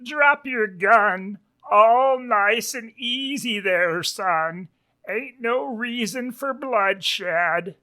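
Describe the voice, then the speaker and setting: A man's voice: high voice, drawn-out words, bright, forceful tone, pitched above the performer's own voice, heavily acted. A man voicing a smug, authoritative pig sheriff in a western storybook, with a folksy American lawman drawl. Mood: cool, threatening.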